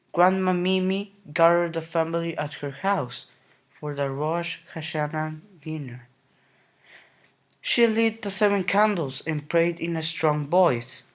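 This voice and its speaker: A man reading a children's picture book aloud in a gentle, unhurried way. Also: on the high side, poor audio. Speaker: narrator. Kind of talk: storybook reading.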